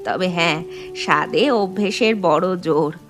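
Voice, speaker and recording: A woman's voice: lowish voice, music in the background, natural voice. A woman voicing an elderly Bengali mother-in-law in a cartoon, firm and traditional, fondly chiding and instructing. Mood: gently advising. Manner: unhurried, instructive, gently chiding. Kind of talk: animated moral story voice acting.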